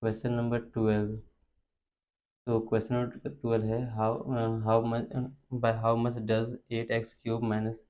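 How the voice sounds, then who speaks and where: A man's voice: medium pitch, completely flat delivery, a little slow, darkish tone. A man with an Indian accent teaching maths step by step, instructional and repeating terms as he works. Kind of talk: step-by-step spoken lesson. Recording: dull lo-fi recording.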